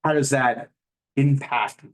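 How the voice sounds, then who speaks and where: A man hosting an interview, measured and affirming, who sums up lessons for listeners. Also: mid-range voice, fairly even intonation, low-quality recording. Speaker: interview host. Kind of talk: casual interview chat.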